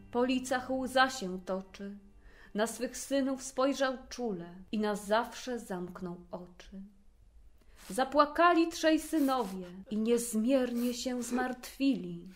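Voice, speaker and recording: A woman narrating a fairy tale in verse, with an expressive, measured storytelling delivery. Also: a little high.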